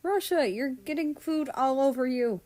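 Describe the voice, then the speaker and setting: Girl doing a worried sweet young guy from an audiobook, gets flustered easy, higher pitch. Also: normal speed, a little mellow, natural voice. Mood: distressed. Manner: flustered, stammering when embarrassed. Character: anxious, caring, easily flustered young male friend.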